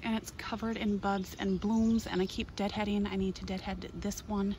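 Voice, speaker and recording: A woman from Minnesota gives an eager, chatty tour of her outdoor garden, pointing things out as she goes. Her voice sits at an ordinary middle pitch, recorded on a handheld phone. Faint birdsong and outdoor air sit softly behind her. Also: level tone, normal speed, slight background noise. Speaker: gardening vlogger.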